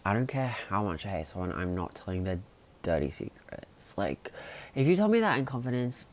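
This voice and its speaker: A young man chatting casually to camera in a rambling vlog, full of fillers and sudden excited jumps in pitch. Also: a little fast. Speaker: vlogger.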